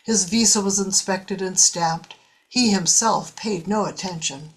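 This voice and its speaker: A woman's voice: medium pitch, measured pace, breathy edge, poor audio. A woman narrating a science fiction story in a scripted, audiobook style.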